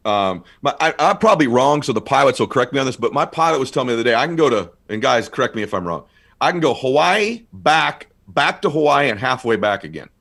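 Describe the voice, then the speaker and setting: A middle-aged man in casual conversation as a guest, telling stories with animated bursts of excitement. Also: vocal fry. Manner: animated, quick storyteller, rising to excited highs. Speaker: guest speaker.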